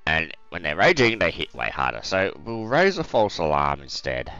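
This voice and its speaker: A man with a croaky voice hosting a let's play, casually thinking aloud over a strategy game with lots of ums. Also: deep voice, wildly swooping pitch, normal speed, pushed and bright, lo-fi sound, soft background music.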